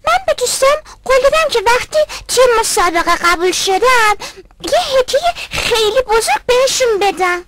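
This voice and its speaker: A woman's voice: very high-pitched, very animated, heavy background noise. A woman voicing an earnest, polite, studious schoolboy in a dubbed educational cartoon. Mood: worried.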